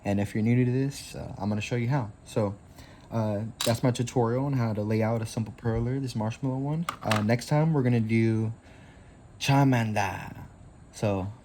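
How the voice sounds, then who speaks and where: A man's voice: mid-range voice, moderate pace, darkish tone, a little hiss or hum. A man in his twenties hosting a casual craft tutorial, rambling easily with lots of fillers. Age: 20s.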